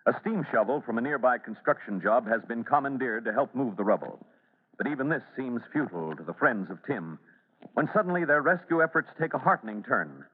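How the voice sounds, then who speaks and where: A man's voice: mid-range voice, animated, brisk, breathy edge, narrow, tinny audio. Dramatic male old-time radio announcer. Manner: dramatic, emphatic. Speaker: narrator and announcer. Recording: thin old radio recording.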